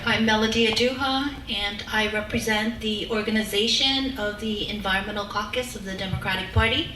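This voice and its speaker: A woman's voice: medium pitch, steady tone, a little fast. A woman giving a formal self-introduction as an organization's representative. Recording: echoey room, distant mic, noise.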